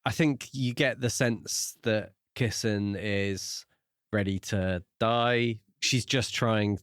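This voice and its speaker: A man's voice: fairly low pitch, fairly even intonation, takes it slowly, a bit bright, smooth, no room sound, studio quality. A man co-hosting a podcast and casually discussing a book. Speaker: podcast co-host.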